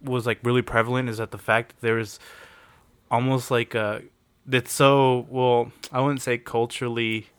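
A relaxed young-sounding guy tells a travel story in easygoing slang, dropping "like" and curse words as he goes. His voice sits at a middle pitch and sounds close and dry, with no room echo. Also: moderate pace, clean tone. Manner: laid-back slangy talk with frequent likes and swearing. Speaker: podcast producer. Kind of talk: casual podcast chat.

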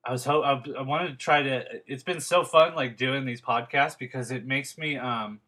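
A man's voice: medium pitch, steady tone, moderate pace, pushed and bright. A young man hosting a podcast, chatting casually and rambling with many fillers and restarts. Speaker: podcast host. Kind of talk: casual interview chat.

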